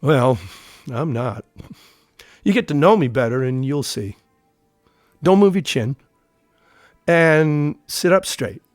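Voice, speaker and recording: Guarded army veteran, lively pitch. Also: male voice, slow, long pauses, no echo, clean studio sound, soft background music. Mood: guarded, curt. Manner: terse, reluctant, guarded. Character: nervous, guarded male army veteran working as a painter. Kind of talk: audio drama dialogue.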